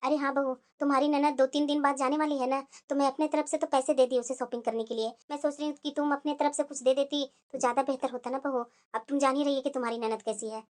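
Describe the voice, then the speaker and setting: A woman voicing a kindly older mother-in-law who keeps the peace in an animated family drama. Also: high-pitched, quick pace, few pauses, close to an everyday voice. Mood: gentle. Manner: gentle, scolding softly.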